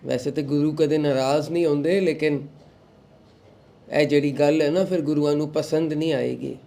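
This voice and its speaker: A young man, recovering from a sore throat, hosting a devotional talk and giving calm advice to followers while mixing in English phrases. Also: fairly high pitch, normal speed, gravelly. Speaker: satsang host.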